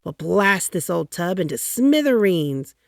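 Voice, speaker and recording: A woman's voice: fairly low pitch, sing-song, unhurried, gravelly, no room sound, studio quality, heavily acted. A woman voicing a gung-ho male war secretary in a storybook, confident and punchy. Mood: gleeful.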